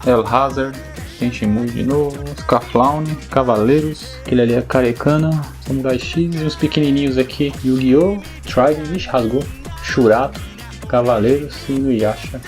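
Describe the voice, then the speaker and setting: A young man with a Brazilian accent who hosts a casual vlog and talks in an enthusiastic, informal way, often tagging on quick questions. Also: mid-range voice, a little mellow, faint music behind. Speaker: YouTuber host.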